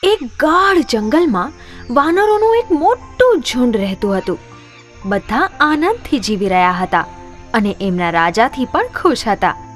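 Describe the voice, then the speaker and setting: A woman's voice: fairly high pitch, big pitch swings, unhurried. A woman narrating scripted children's stories as a storyteller. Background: background music.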